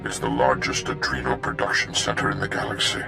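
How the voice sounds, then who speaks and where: A deep, gravelly old Jedi master. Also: male voice, big pitch swings, fairly quick, music in the background, heavily acted. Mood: earnest. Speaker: Jedi master on a holo call. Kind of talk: video game voice acting.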